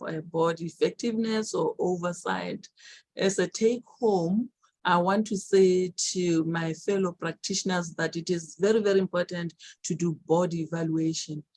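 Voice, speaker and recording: A woman from Botswana with a Botswana accent, a podcast guest giving measured, earnest answers and repeating words for emphasis. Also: fairly low pitch, a little mellow. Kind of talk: interview answers.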